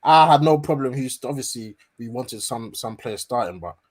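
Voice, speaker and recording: A man hosting a casual football fan stream, talking in relaxed slangy banter with many fillers. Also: medium pitch, fairly quick. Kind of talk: casual live-stream chat.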